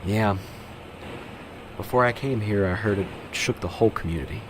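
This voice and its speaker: A man playing an earnest, dutiful young police officer in an amateur radio drama, measured and by-the-book. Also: fairly low pitch, close to an everyday voice. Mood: cooperative. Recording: clearly noisy, vehicle-like background ambience.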